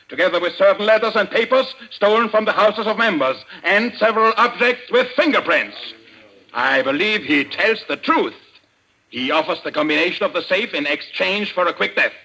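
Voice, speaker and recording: Give a coldly menacing crime boss in an old radio thriller. The voice is fairly high and forceful, with a gravelly edge. The delivery is formal, theatrical and commanding. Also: male voice, exaggerated voice, rough recording. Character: commanding, coldly menacing male president of a criminal society. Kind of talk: old-time radio suspense acting.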